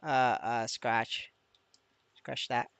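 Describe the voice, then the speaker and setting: A man's voice: medium pitch, little pitch movement, drawn-out words, vocal fry, a bit bright, clear, resonant tone, no echo. A man livestreaming as a gamer in casual chat, stammering, repeating his words and swearing freely. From Vietnamese. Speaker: game streamer.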